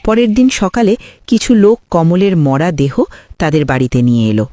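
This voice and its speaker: A man's voice: on the high side, normal speed, dark tone. A man reading scripted story narration for a cartoon.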